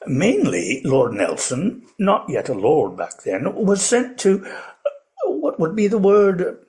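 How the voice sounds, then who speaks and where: An actor playing an elderly, genteel plantation owner in a radio play, pompous and courteous, rambling with many hesitations. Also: male voice, sits high, huge pitch swings, takes it slowly, a little mellow, exaggerated voice. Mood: rambling, proud. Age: older.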